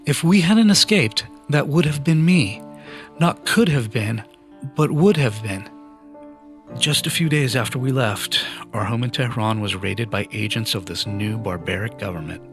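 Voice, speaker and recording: Gravelly-voiced man narrating his story. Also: medium pitch, faint music behind. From Iran. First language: Farsi. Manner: earnest, measured storytelling. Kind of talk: scripted narration.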